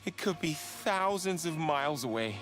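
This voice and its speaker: An actor playing an excitable, anxious, naive sidekick in an animated game. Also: medium pitch, normal speed, music in the background, close to an everyday voice. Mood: curious. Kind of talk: animated game cutscene acting.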